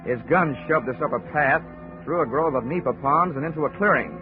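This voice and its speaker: A man's voice: fairly high pitch, fairly quick, close to an everyday voice. An actor playing a wisecracking male insurance investigator who narrates his own case in a dry, hardboiled tone, in 1940s radio acting. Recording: tinny 1940s radio broadcast with music.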